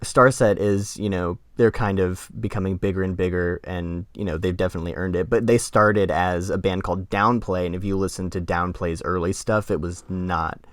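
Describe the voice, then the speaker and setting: A man's voice: low-pitched, steady tone, moderate pace, hardly any pauses, dry recording, clean studio sound. A man co-hosting a podcast, casually giving his critique and often rephrasing his points as he goes. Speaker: podcast co-host and music critic. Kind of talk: casual discussion.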